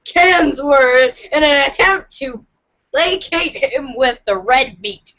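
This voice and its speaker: A woman giving an animated dramatic reading of a comic story for a podcast. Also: high voice, slow pace, lo-fi sound. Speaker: podcast reader.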